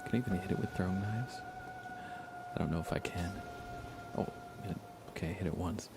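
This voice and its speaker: A man softly giving casual ASMR commentary as he livestreams a game, often slipping into a near-whisper. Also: deep voice, brisk, vocal fry, very noisy. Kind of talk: soft ASMR gaming commentary, casual chat.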